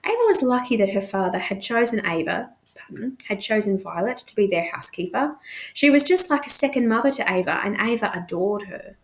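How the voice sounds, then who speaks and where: A woman's voice: medium pitch, lively intonation, brisk. A woman narrating a novel expressively, as a teacher reading aloud to students.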